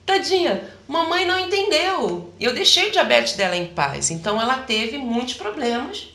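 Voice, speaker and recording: A woman in an interview who explains how the body works in an animated, talkative way, slipping into little acted-out quotes. Her voice sits a bit high and sounds bright. The room adds a clear echo. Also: moderate pace. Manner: animated, acts out quoted voices, laughs often. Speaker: health practitioner guest.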